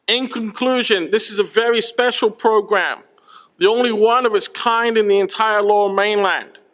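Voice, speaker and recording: Man with a high voice, earnest speech read out in a reverberant hall. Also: fairly even intonation, moderate pace, a bit bright. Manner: earnest, reading out a prepared text. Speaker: student speaking at a rally. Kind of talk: prepared public speech.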